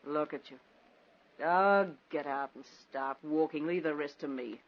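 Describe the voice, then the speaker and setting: An actress playing a cold-blooded, domineering wife who can turn sugary, in a vintage radio thriller. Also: female voice, deep voice, measured pace. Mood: cold, commanding. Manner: commanding, sugary when pretending. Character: scheming, cold-blooded, domineering wife plotting her husband's murder. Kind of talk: 1940s-60s radio thriller acting. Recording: muffled, noisy vintage radio recording.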